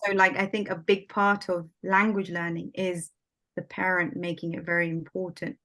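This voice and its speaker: A woman's voice: on the low side, flat delivery, normal speed, a bit bright. A woman whose first language is Swahili, born in Somalia, talking casually as a guest with many fillers like "like" and "um". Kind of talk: casual conversation.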